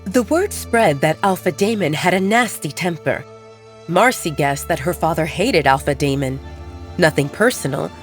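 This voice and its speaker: Expressive woman narrator, lower-pitched, music behind. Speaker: audiobook narrator. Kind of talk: scripted dramatic story narration.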